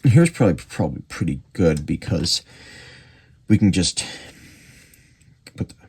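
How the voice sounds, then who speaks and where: A man giving a casual hands-on repair demonstration, speaking haltingly as he thinks aloud while he works. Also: low voice, slightly rough. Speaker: radio repair hobbyist and presenter. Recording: steady background noise with clicks.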